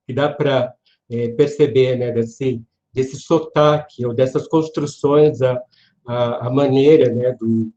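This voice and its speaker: A man with a Brazilian accent giving an informal online literature lecture in a hesitant, halting manner, often checking for agreement. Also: mid-range voice, mellow. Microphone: online video call. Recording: dull, lo-fi online class audio.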